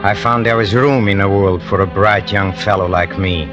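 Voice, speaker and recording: An actor playing a bitter, cold-blooded hired killer who confesses in a brooding, halting way, in vintage radio drama style. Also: male voice, deep voice, steady tone, dull audio, lightly acted. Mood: cold pride. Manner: brooding, halting, repeats himself with menace. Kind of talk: 1940s-50s radio drama acting. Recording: old radio broadcast with music underneath.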